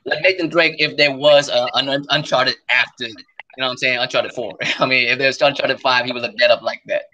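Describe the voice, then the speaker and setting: A man co-hosting a podcast in casual gaming chat, animated and slangy, joking around. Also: mid-range voice, fairly quick, highs cut off, slight background noise. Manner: animated, slangy, jokey, mimics voices. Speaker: podcast co-host.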